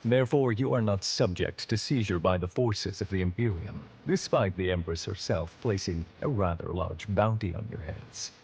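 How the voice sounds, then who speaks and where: An actor playing a stern, honorable veteran alien admiral in a video game, speaking in a formal, measured, authoritative way. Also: male voice, low-pitched, vocal fry, a little mellow, breathy edge, full character voice. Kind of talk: video game cutscene acting.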